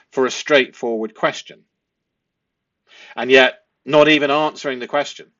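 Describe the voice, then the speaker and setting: A man explaining a transcript analysis as a host, speaking deliberately and posing rhetorical questions. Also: medium pitch, a bit bright, dry recording, dull audio. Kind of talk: explanatory analysis of a transcript, part read aloud.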